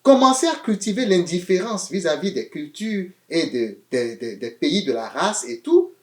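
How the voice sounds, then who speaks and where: A man preaching a sermon in an animated way, swinging between loud emphatic phrases and quiet asides, often repeating words as he searches for them. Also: high-pitched, echoey room.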